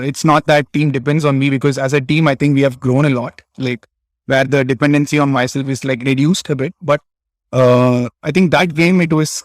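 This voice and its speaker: This is a young man with a Tamil-region Indian English accent, speaking at a middle pitch for a man. He answers interview questions in a halting, casual way, full of "uh" and "like" and restarting his sentences. The sound is dry and close. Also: no echo. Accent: Indian English, Tamil Nadu. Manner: hesitant, many restarts and fillers. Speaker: interview guest, cricket player.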